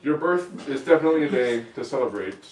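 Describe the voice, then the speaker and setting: A man's voice: medium pitch, lively intonation, quick pace, darkish tone, natural voice. A man playing a teasing young vampire boyfriend in a hammy amateur script reading. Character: teasing teenage-looking male vampire boyfriend. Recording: echoey, distant mic, noise and clicks.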